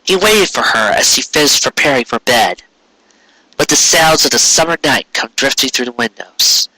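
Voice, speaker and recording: A man's voice: mid-range voice, expressive, normal speed, bright, forceful tone, no echo, rough recording. A man reading scripted story narration as an amateur audiobook narrator.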